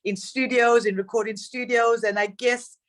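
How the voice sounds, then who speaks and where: Middle-aged singer from Zimbabwe, reflective. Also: female voice, a little high, steady tone, moderate pace. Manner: warm, reflective storytelling with laughs. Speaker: singer, interview guest. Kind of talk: casual interview chat.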